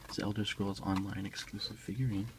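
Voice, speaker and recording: A man speaking in a soft, unhurried, hushed whisper close to the microphone, as an ASMR creator reading aloud and commenting for an unboxing. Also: fairly low pitch, flat delivery, noisy background.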